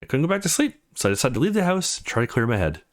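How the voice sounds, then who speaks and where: Man reading as a guarded, animated young man. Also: medium pitch, very fast, lightly acted. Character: awkward, guarded eighteen-year-old male student in a visual novel.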